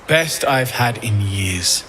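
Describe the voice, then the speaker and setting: An actor playing a kind, apologetic blacksmith in a fantasy game, speaking gently and earnestly. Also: male voice, medium pitch, lively intonation, takes it slowly, background noise. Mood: friendly.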